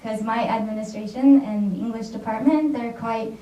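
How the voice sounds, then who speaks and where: An American woman speaks to a conference audience in a mellow, fairly high voice, keeping it chatty and tossing out "right?" to her listeners. She sounds distant from the mic, and the audio is dull and roomy, as if captured from the back of a lecture hall. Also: steady tone, normal speed. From United States. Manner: chatty, keeps checking in with "all right?" and "right?". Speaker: conference presenter, secondary school teacher.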